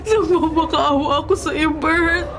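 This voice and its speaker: A woman's voice: sits high, fairly even intonation, unhurried, heavy background noise. An actress playing a worried, loving middle-aged mother in a Filipino radio drama, tearful, pleading and melodramatic. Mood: anguished.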